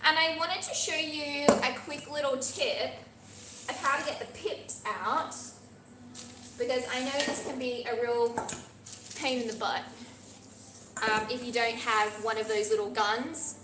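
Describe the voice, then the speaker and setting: A woman's voice: on the high side, steady tone, measured pace, roomy sound, noisy background. A woman from Australia with an Australian accent, chatting encouragingly as she demonstrates a baking recipe in a teaching kitchen. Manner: chatty, encouraging, talks while working with her hands. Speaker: pastry instructor. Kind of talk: live cooking demonstration. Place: teaching kitchen at a pastry academy.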